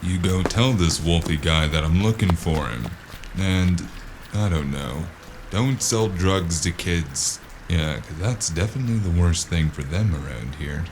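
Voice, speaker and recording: A man's voice: low-pitched, expressive, measured pace, background noise. A man playing a weary, sardonic investigator who mutters dryly in a noir audio drama. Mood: impatient, menacing. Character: weary, sardonic male noir investigator shaking down street dealers. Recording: music behind the voice.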